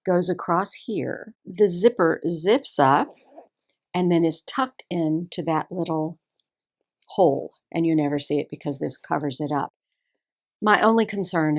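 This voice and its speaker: Woman with a Texas accent, low-ish voice. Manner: admiring, careful, explains details slowly. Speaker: seamstress host. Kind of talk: casual show-and-tell sewing video.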